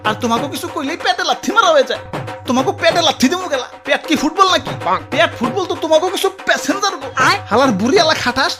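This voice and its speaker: A woman plays an exasperated cartoon motorbike rider with a Bangladeshi accent. The voice is high and very quick, scolding in colloquial rants. Loud music runs behind it. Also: animated. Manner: rapid scolding, complaining, colloquial. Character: exasperated, ranting motorbike rider in city traffic. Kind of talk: comic cartoon dubbing.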